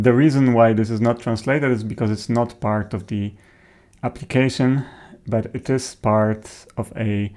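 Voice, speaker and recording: Male software presenter, native Polish speaker with Polish accent, lowish voice, halting informal demo delivery. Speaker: software developer presenting a tutorial.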